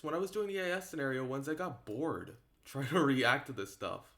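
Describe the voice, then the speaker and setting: Guy with a mid pitched voice doing an unscripted opinion video, kinda rambly and halting, repeats himself to make a point. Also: fairly bright tone. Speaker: online video creator. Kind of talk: casual unscripted opinion talk to viewers.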